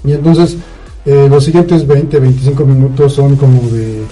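A man's voice: medium pitch, steady tone, normal speed, mellow, faint music behind. A man with a Mexican accent chatting casually as a podcast co-host, recounting a story in a rambling, colloquial way with frequent tag questions. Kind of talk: casual chat, recounting a film plot.

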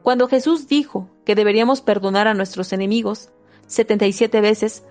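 A woman giving a steady, measured reading of a self-help book, with a few small slips and restarts. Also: medium pitch, soft background music. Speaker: audiobook reader.

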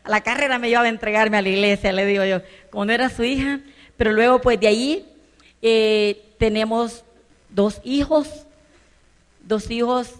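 A woman's voice: a little high, fairly even intonation, moderate pace. An older woman from El Salvador with a Salvadoran Spanish accent, giving an earnest personal testimony at a business event and rising to emphatic bursts. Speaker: business conference speaker. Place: large conference hall stage. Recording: long reverb of a large hall.

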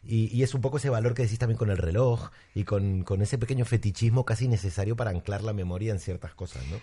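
A man's voice: lowish voice, fast, warm tone, slightly rough. A man with an Argentine accent co-hosting a podcast, chatting casually about books in a digressive, explanatory way. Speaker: podcast co-host. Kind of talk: casual literary chat.

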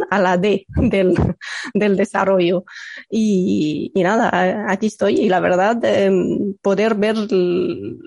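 A woman in her thirties from Egypt, a native Arabic speaker, answering in a relaxed interview with many pauses and restarts. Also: mid-range voice, no room sound, studio quality. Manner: hesitant, many fillers and restarts. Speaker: computational linguist guest. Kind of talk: conversational podcast interview.

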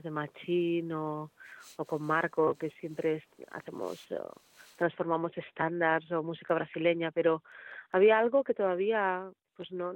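A woman's voice: on the low side, no room sound. Woman on a tinny phone-like line. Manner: thoughtful, hesitant, many pauses and fillers. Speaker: singer, guest. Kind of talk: conversational interview answers.

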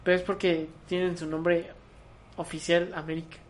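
A man's voice: a little high, little pitch movement, moderate pace. A man with a Mexican accent co-hosting a podcast in casual chat, teasing his friend and swearing casually. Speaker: podcast co-host.

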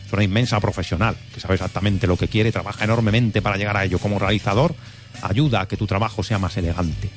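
A man from Spain hosting a radio film show, joking and quick to argue as he reads out film synopses. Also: lowish voice, faint music behind. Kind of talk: film review radio chat.

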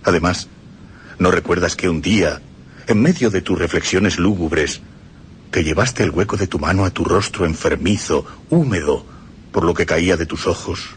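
A man's voice: low voice, moderate pace, slight background noise. A man with a Spain Spanish accent reading literary passages aloud on radio in an intense, theatrical, insinuating way. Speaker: reader of quoted texts. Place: radio studio.

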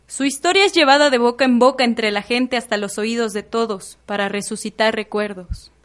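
A woman's voice: fairly high pitch, moderate pace. A young adult woman with a Mexican accent narrating a scripted radio drama.

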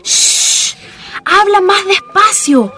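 A woman's voice: very high voice, lively intonation, extremely slow, sharp tone, heavily acted. A woman acting as a feisty, exasperated Chilean schoolgirl in a radio drama, scolding and sassy. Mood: annoyed, indignant.

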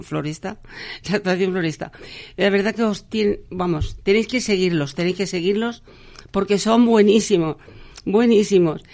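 A woman hosts a live radio show from a studio microphone, her voice carrying a noticeable gravelly fry. She talks through announcements in a chatty, halting way, stopping to restart words and filling gaps with ums. Her pitch sits at an ordinary middle level for a woman. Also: a little mellow.

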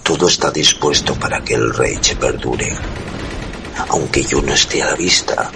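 A solemn, fatalistic wartime dictator played by a man in a radio drama, with an extremely deep, creaky voice. He declaims gravely and prophetically, sounding set back from the microphone. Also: unhurried, far from the mic, background noise, full character voice. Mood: solemn, prophetic.

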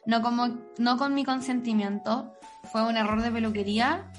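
A woman's voice: a little high, fairly even intonation, normal speed, faint music behind. A young adult woman with a Chilean accent hosting a casual podcast chat among friends, informal and slangy, swearing freely. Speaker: podcast host.

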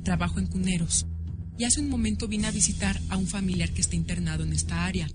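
Actress as a friendly Mexican nurse, loud music behind. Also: female voice, on the low side, fairly even intonation, fast talker, natural voice. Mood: calm, explanatory. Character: friendly nurse who calmly explains herself to colleagues. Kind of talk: Mexican horror radio drama acting.

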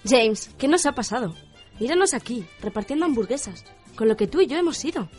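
A woman's voice: fairly high pitch, lively intonation, fairly quick, a little mellow, husky, faint music behind. A woman playing a bitter, bossy villainess in a comic radio play, melodramatic and commanding.

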